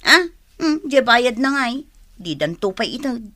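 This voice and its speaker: A woman playing a brisk, shrewd neighbourhood fruit seller with a Filipino accent in a comedy radio drama. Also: a little high, big pitch swings, pushed and bright, full character voice. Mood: scheming. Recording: faintly noisy radio drama recording.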